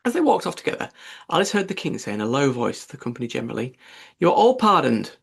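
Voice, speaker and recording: Gravelly male storybook narrator. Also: medium pitch, big pitch swings, rapid-fire. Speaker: audiobook narrator.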